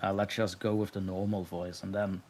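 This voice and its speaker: Belgian man, low voice, modest interview guest who laughs easily. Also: flat delivery, moderate pace, a little mellow. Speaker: guest narrator. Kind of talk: casual interview chat.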